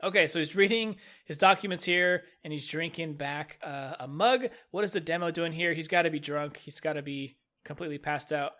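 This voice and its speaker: A fairly high-voiced man, audibly stuffed up with a cold. He talks through a game update in an upbeat, casual way, often doubling words for emphasis. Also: brisk, few pauses, pushed and bright. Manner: upbeat, enthusiastic, repeats "really, really". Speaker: gaming YouTuber.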